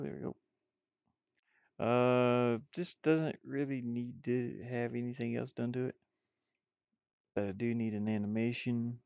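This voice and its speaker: Guy with a creaky voice muttering along on a casual art stream, dry kinda dull mic. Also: medium pitch, no room sound. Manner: rambling, muttering to himself while working. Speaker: streamer, game developer. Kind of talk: casual livestream chat while drawing game art.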